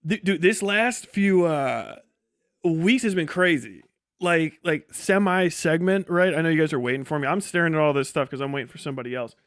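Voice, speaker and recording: A man livestreaming casual commentary, blunt, scornful and full of swearing, rambling in a halting way with false starts and digressions. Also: a little high, a bit bright, dry recording, studio quality.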